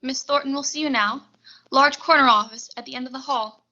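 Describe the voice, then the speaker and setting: A woman's voice: high-pitched, fairly quick, sharp tone. A teenage girl playing a polite office receptionist in a 1940s suspense radio play. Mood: businesslike. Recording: muffled, noisy home voice track.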